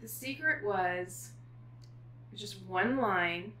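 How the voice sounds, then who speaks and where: Woman, middle-aged author, bright voice, casual storytelling with thoughtful pauses, echoey room. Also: mid-range voice. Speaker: guest author. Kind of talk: casual chat. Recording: echoey room with faint noise.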